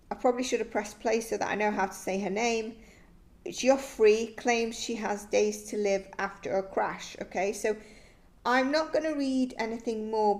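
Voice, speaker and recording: A woman's voice: fairly high pitch, a little mellow. Woman musing through a tarot reading. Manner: musing and tentative, often trailing off. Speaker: psychic tarot reader. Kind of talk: thinking-aloud tarot reading to camera.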